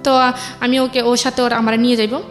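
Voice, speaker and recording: A woman's voice: on the high side, little pitch movement, brisk, faint music behind. A young woman with a Bangladeshi accent telling her life story as an interview guest, in colloquial speech full of repetition and quoted dialogue. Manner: colloquial dialect forms, repeats herself, quotes family members. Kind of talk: personal life story told conversationally.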